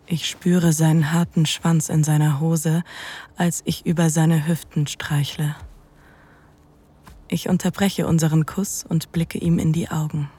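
Slow, breathy, sultry actress as dominant woman lover. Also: deep voice, flat delivery, dark tone. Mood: sensual, slow. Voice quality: breathy, often near whisper. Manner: slow, sensual, teasing. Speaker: narrator and lead in an erotic audio drama. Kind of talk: intimate first-person scripted narration.

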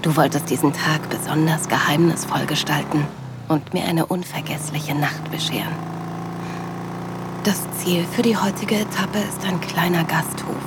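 A woman playing a loving, sensual middle-aged wife, narrating an intimate audio drama in a soft, dreamy manner. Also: sits low, very noisy, natural voice.

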